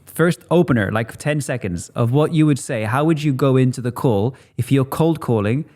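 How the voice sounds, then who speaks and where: A man from Spain hosting a podcast interview, eager and playful, prompting his guest quickly. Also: medium pitch, a little mellow. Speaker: podcast host. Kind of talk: casual interview conversation.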